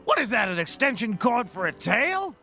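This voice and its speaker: Man playing a cranky male street vendor, blunt, gruff scolding, high gravelly voice, comedy sketch. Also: sing-song, normal speed, sharp tone, faint music behind, exaggerated voice. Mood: annoyed scolding. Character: irritable, blunt male fruit stand vendor. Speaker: actor in a scripted comedy series. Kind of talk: scripted comedy acting.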